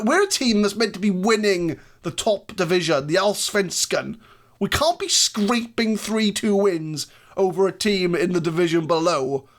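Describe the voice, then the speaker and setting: A man's voice: high-pitched, fairly bright tone. A man giving casual, jokey, self-mocking commentary over a football management game. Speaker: gaming YouTuber. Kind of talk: casual Let's Play commentary.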